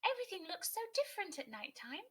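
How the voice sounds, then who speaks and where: Woman voicing an excitable, high-swooping young bird. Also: fast talker, exaggerated voice. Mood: amazed, eager. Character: curious, excitable female kingfisher in a children's storybook.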